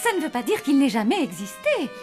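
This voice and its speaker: A woman voicing a sprightly, gently authoritative adult woman in a cartoon, with a theatrical, coaxing delivery. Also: high-pitched, wildly swooping pitch, brisk. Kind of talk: animated cartoon dub acting. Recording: loud music behind the voice.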